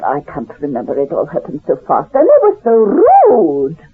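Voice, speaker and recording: An elderly Polish-accented refugee woman, wry and rambling, lively high pitch swings, heard on a tinny old radio tape. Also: normal speed, breathy edge, soft background music, heavily acted. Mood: reminiscing. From Poland. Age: older. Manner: rambling, addresses listener directly, laughs at herself. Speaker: storyteller recounting her past. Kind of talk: 1970s radio drama monologue.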